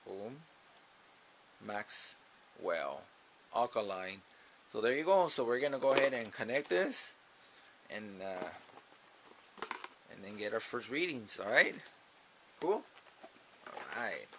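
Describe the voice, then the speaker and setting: A man's voice: on the low side, very animated, slow, pauses often, noisy background. A young man casually talking through an unboxing in a small grow tent, upbeat and repeating himself as he thinks aloud. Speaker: gardening channel host. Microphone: camera mounted above.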